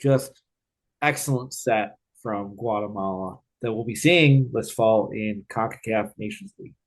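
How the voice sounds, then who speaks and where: A man's voice: lowish voice, a little slow, low-quality recording. A man hosting a podcast, chatting casually and upbeat with slangy phrasing, then signing off the show. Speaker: podcast host. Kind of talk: casual chat.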